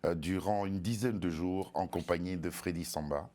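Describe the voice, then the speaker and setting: A man's voice: sits low, moderate pace, dark tone. A man hosting a TV talk show, asking a guest questions in an encouraging way and often finishing her sentences. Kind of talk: TV interview.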